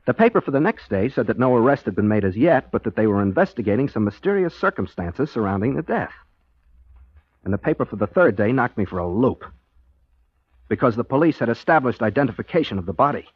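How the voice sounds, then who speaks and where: An actor playing an earnest, daydreaming rookie private detective, boyish and wryly self-deprecating, in 1940s radio style. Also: male voice, medium pitch, big pitch swings, fast, breathy edge, dry recording. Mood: wry, musing. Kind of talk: 1940s radio acting with first-person narration. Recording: dull vintage 1940s radio, music behind.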